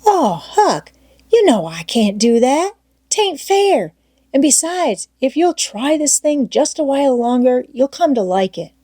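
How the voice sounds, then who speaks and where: A woman voicing an excitable, scheming boy gang leader in a storybook, emphatic and persuasive. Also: high-pitched, a little slow, no room sound, studio quality, voice pushed up. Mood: coaxing. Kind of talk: storybook character voice in audiobook reading.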